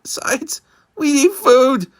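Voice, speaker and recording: A man's voice: extremely high pitch, animated, slow pace, a bit bright, pitched above the performer's own voice, full character voice. A man voicing a small, weary male turtle who pleads and groans from under a heavy load. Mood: pleading. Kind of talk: storybook reading.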